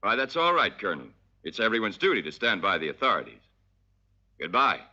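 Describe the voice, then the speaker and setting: Bright, forceful upright townsman. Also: on the low side, sing-song, fairly quick, full character voice. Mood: righteous. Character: dignified, dutiful townsman backing the authorities. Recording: dull old film soundtrack.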